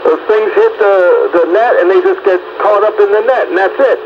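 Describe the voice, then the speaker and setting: High-voiced man on noisy radio. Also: fairly even intonation, fairly quick. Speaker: participant calling in to a radio chat. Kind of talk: casual chat. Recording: noisy, dull two-way radio link.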